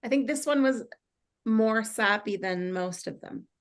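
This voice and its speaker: A woman's voice: on the high side, measured pace. A woman chatting casually and informally in an online group discussion. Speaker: table read participant. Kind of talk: casual chat.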